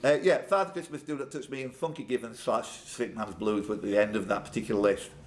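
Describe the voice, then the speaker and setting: A man's voice: on the low side, fast talker. A man hosting a live pub quiz, reading out questions and chatting jokily with contestants. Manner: jokey banter with contestants; reads questions aloud, then chats off the cuff. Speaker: quiz host. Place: indoors at a pub quiz event. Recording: background noise in a pub room.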